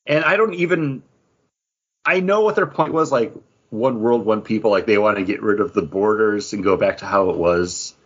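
Male podcast host, low and rough-edged, casual and halting, rambling delivery. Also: a bit bright, highs cut off. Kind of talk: casual chat.

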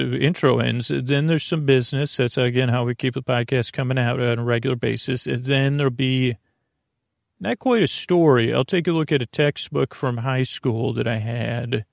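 A man with a gravelly, creaky voice hosting a bedtime podcast, rambling haltingly and often trailing off mid-thought. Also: medium pitch, no room sound, studio quality. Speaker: podcast host.

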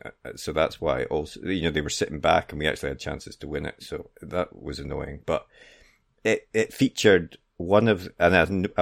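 Really deep creaky guy talking football on a podcast, casual, bit halting.

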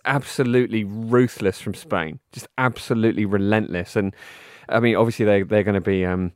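A man's voice: on the low side, steady tone, normal speed, no echo. Man chatting football, very dry sound. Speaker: football podcast co-host. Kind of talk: casual sports chat.

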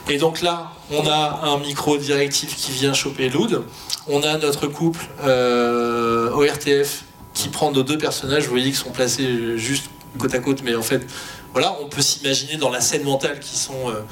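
A man's voice: fairly even intonation, moderate pace, fairly bright tone. A man talking informally on an expert panel, explaining how an audio fiction was produced. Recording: background noise with low rumble.

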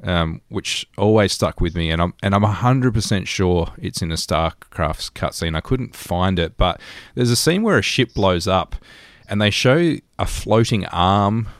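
A man's voice: sits low, expressive, a little slow, few pauses, no room sound, clean studio sound. A man in his thirties with an Australian accent, co-hosting a podcast and telling stories in a casual, chatty way. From rural New South Wales, Australia. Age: 30s. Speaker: podcast co-host. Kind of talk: casual storytelling chat.

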